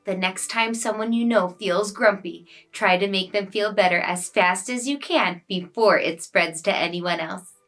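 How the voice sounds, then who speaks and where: A woman reading a picture book to children in an expressive, deliberate storyteller's delivery. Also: medium pitch. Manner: clear, deliberate delivery addressed to young children. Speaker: children's read-aloud storyteller and host.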